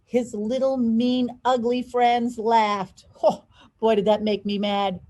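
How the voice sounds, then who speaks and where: Woman reading a kids picture book out loud, expressive, voice a bit high. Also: slow. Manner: expressive, dramatized storytelling. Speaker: school leader reading aloud. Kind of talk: children's storybook read-aloud.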